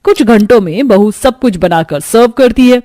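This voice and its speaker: A woman's voice: fairly high pitch, animated, moderate pace, dark tone. A woman storyteller reading scripted narration of a moral tale.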